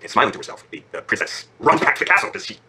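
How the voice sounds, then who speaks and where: A man playing an affectionate father who improvises a bedtime fairy tale in an informal, conversational way, checking in with his listener, his voice husky. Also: a little high, very animated, rapid-fire, pushed and bright, a little hiss or hum, full character voice. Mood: suspenseful. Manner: conversational, peppered with check-in questions. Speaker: storyteller. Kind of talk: animated, informal bedtime storytelling.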